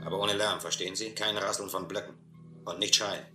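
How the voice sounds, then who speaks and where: An actor playing a domineering, cunning seal-schooner captain in a radio play, with a commanding, coolly menacing manner. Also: male voice, low voice, fairly even intonation, a little fast, music in the background, close to an everyday voice. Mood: scheming. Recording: echoey, noisy old radio play recording.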